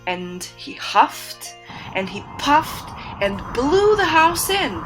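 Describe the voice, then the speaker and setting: A woman narrating a children's fairytale in an expressive storytelling manner. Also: a little high, rough recording.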